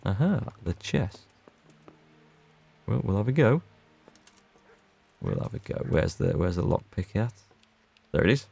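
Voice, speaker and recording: Male streamer, low and gravelly, casual running commentary, chats with his audience. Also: very animated, a little slow, pauses often, darkish tone. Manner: chatty, reads out and answers viewer chat. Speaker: gaming streamer. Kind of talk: casual let's play commentary.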